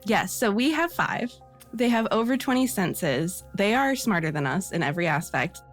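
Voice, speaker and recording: A woman's voice: medium pitch, very animated, normal speed. Earnest woman chatting casually over music. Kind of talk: casual conversation.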